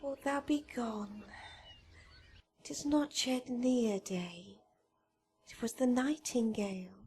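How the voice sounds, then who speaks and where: An actress playing Juliet as a passionate young bride in a Shakespearean radio drama, speaking verse dramatically. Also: female voice, on the high side, noisy background. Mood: tender, pleading. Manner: slow, dramatic verse delivery.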